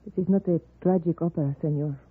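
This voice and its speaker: Casting: a troubled señora, very husky, formal and halting, in muffled old radio western audio. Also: female voice, low-pitched. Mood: bitter, resigned. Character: anxious, fatalistic Mexican wife of a wounded don. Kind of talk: 1950s radio drama acting.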